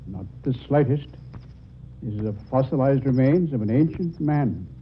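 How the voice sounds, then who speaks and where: A man's voice: mid-range voice, expressive, moderate pace, close to an everyday voice. An actor playing a learned male doctor in an old-time radio drama, lecturing precisely about his field. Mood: assured, explanatory. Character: learned male doctor, expert on ancient human bones. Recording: muffled, noisy old radio recording.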